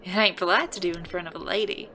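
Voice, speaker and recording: Woman playing a bright, teasing saloon sweetheart. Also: on the low side, steady tone, quick pace. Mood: affectionate, flirty. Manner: coaxing, teasing, sugary. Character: flirtatious, teasing saloon woman, sweet but quietly dangerous. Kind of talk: audio drama acting.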